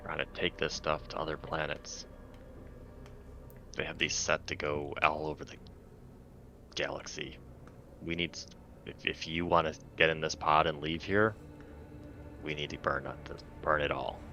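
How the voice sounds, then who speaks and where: Very deep-voiced man, noisy mic. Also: steady tone, a little slow, creaky, long pauses, sharp tone, lo-fi sound. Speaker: tabletop game player. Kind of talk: casual tabletop game chat.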